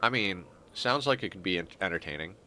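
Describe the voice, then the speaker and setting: A man's voice: low-pitched, expressive, fairly quick, bright, forceful tone. A young man with a stuffed-up nose giving rambling, wry commentary while streaming a game. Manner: rambling, self-correcting, wry. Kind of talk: casual gameplay commentary.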